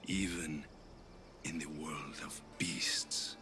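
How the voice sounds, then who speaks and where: A man's voice: rumbling low, steady tone, slow. An actor playing a bitter, volatile young warrior convinced of his destiny in a dramatic video game cutscene, scornful and intense. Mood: dark, menacing. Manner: scornful, intense, swinging from shouts to menacing whispers. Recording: loud music behind the voice. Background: dramatic music.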